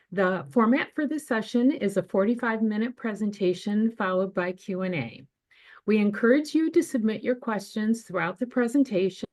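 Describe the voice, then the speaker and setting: A woman's voice: medium pitch, a little mellow. A woman from an alumni office hosting a webinar, reading a prepared introduction of the speaker over a video call. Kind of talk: scripted introduction.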